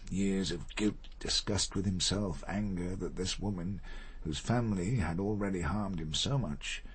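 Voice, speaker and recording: Radio drama role: proud, guilt-ridden older gentleman, low and very husky, formal, deliberate delivery. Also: heavily acted.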